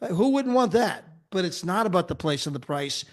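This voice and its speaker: An upbeat American man with a fairly high voice pitches travel deals to a crowd of listeners. He talks energetically and keeps tossing in "right?" and "okay?" to hold their attention. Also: lively intonation, moderate pace. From United States. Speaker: travel club presenter. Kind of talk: sales pitch presentation.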